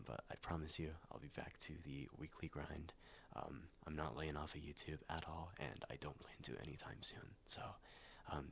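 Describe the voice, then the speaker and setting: Young male ASMR reader, very low and gravelly, congested and a bit nasal, soft and hesitant with frequent whispers. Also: slight background noise. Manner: soft, slow, many ums and likes, drifting into whispers. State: stuffed up, slightly nasal after a cold. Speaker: ASMR YouTuber. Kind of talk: soft-spoken ASMR reading.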